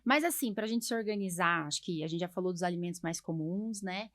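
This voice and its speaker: A woman's voice: fairly low pitch, a little fast, no room sound, clean studio sound. A Brazilian woman chatting as a podcast host and doctor, explaining things emphatically with frequent rhetorical questions. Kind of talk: casual podcast conversation, explaining to listeners.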